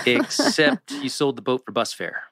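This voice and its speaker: Casting: man as sensible, skeptical teen best friend in TV drama, mid-pitched, understated wording, varied tune. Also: big pitch swings, slow pace, fairly bright tone, no echo, exaggerated voice. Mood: dry, skeptical. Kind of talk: live table read of a TV drama script.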